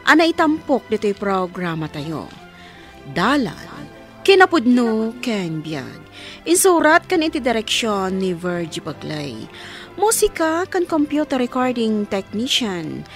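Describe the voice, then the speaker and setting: A woman reading a scripted closing narration as a radio drama announcer. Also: mid-range voice.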